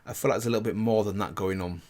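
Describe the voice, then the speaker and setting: A man giving casual reaction commentary as a video host, in a husky voice. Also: lowish voice, steady tone, racing, a loud crowd.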